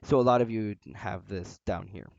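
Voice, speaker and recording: A low-pitched man walks through a game tutorial in a loose, rambling style, with plenty of "uh" fillers and a loud voice. The sound is dry and close, with a slightly dull, lo-fi tone from a home desk microphone. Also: normal speed, gravelly, no room sound. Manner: relaxed, rambling, frequent "uh" fillers. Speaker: tutorial host. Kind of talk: casual screen-recorded game tutorial. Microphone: desk microphone near a loud keyboard.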